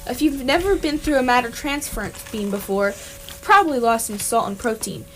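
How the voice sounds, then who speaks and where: A woman playing a calm, practical alien hitchhiker in an amateur radio play. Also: fairly high pitch, moderate pace, faint music behind, rough recording. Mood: calm, matter-of-fact. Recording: clear background noise.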